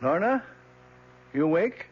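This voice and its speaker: A man's voice: fairly high pitch, very animated, a little slow. An actor playing a worried, protective older father in an old-time radio drama, pleading with exasperated concern. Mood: concerned, gentle. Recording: dull, noisy radio drama recording with hum.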